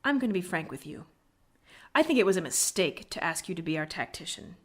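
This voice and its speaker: Scornful, sharp-tongued woman tactician. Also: on the low side, sing-song, a little fast. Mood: stern, contemptuous. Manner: curt, sardonic, lecturing, articulate. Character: proud, sharp-tongued female rebel military tactician, stern and scornful. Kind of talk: scripted video game character acting.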